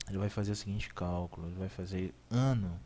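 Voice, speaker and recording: A man's voice: sits low, brisk, mellow, a little hiss or hum. A young man, teaching a beginner coding lesson over a screen recording, explaining in a repetitive way, correcting himself and asking "ok?" to check in. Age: 20s. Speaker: programming instructor.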